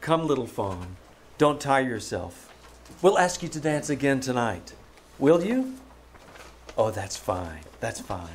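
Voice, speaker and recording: An actor playing a kindly, courteous young prince in old-time radio drama style, gentle and a little hesitant in his speech. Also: mid-range voice, sing-song, slow pace, pauses often, slight background noise. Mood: tender. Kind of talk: old-time radio drama acting, live stage performance.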